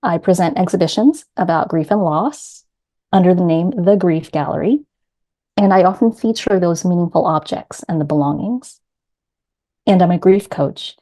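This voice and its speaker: A middle-aged woman with a New York accent, a grief coach guest telling her story in an earnest, measured way. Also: lowish voice, warm tone. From New York City. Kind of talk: reflective personal storytelling.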